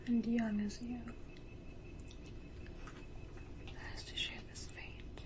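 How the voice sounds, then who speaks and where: A woman voicing a gentle, mournful female vampire in an ASMR roleplay, speaking tenderly and formally in whispers. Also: fairly high pitch, little pitch movement, close to an everyday voice. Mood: regretful, soothing. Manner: slow, tender, formal, condescendingly affectionate.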